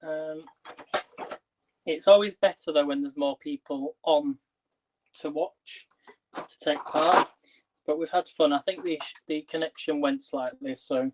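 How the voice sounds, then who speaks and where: A slightly high-voiced man chats casually to viewers while tidying his kitchen, his voice wearing thin. He rambles and restarts his questions. The sound is dull and lo-fi, like livestream audio. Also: a little slow, long pauses, a little hiss or hum. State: losing his voice. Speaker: livestream host. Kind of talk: casual live-stream chat.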